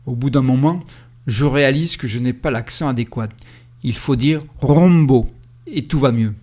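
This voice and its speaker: A man calmly telling the story of his cycling trip in the first person, with a reflective, storytelling way of speaking. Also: mid-range voice, normal speed. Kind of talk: first-person travel narration. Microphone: voice-over recorded separately.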